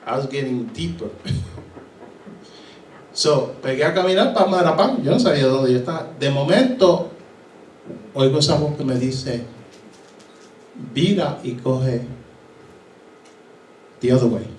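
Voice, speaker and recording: A man's voice: medium pitch, lively intonation, a little slow, long pauses, darkish tone, distant mic, slight background noise. Puerto Rican pastor telling his story, echoey hall. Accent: Puerto Rican Spanish. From Puerto Rico. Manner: storytelling, acting out voices, mixing in English. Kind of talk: sermon testimony. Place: church hall.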